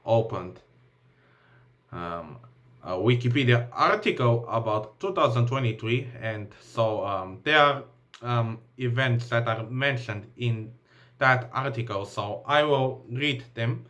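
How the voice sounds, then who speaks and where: A man's voice: mid-range voice, lively intonation, slow pace, fairly bright tone. A man with a Bulgarian accent, English not his first language, reading a list of events from a laptop with frequent ums and uhs. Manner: hesitant, many ums and uhs, restarts while reading. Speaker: vlogger.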